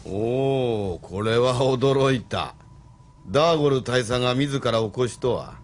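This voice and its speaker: A man's voice: medium pitch, takes it slowly, lightly acted. An actor in a Japanese audio drama playing a sardonic, unruffled retired rebel in his seventies, speaking with blunt familiarity. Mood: amused, hospitable. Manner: familiar, gruffly worldly, sardonic. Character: wry, unruffled 75-year-old retired male rebel activist.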